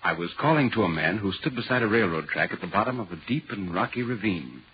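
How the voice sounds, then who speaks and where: An actor playing a polite, inquisitive newspaper reporter recounting an eerie tale in dramatic 1940s radio style. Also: male voice, lowish voice, animated, fast, husky. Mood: eerie narration. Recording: dull, hissy 1940s radio recording.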